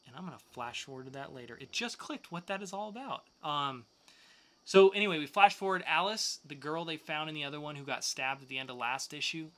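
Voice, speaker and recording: A man's voice: fairly high pitch, brisk, a bit bright. A man hosting a podcast, casually reviewing comic books with enthusiastic, loose, slangy asides. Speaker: podcast host.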